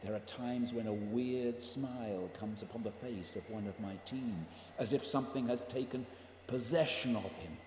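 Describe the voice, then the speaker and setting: A man's voice: medium pitch, moderate pace, darkish tone, close to an everyday voice. A man acting in a radio play as a brooding, burdened leader of a polar expedition, grave and introspective. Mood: haunted, grave. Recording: echoey reverberant room, faint noise.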